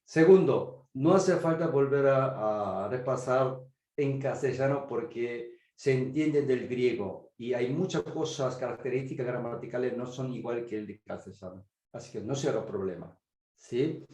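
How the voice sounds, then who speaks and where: A man's voice: mid-range voice, measured pace, dark tone, roomy sound. A man with a Korean accent, speaking Spanish as a second language, teaches a grammar class and keeps checking that students follow along. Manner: checks understanding with frequent "¿sí?". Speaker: teacher of biblical Greek. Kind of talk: online class lecture.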